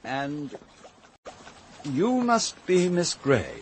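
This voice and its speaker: An actor playing a genial gentleman host of a castle in a British radio drama, welcoming and courteous, with a British accent. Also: fairly high pitch, big pitch swings, very slow, a little hiss or hum, exaggerated voice. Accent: British English.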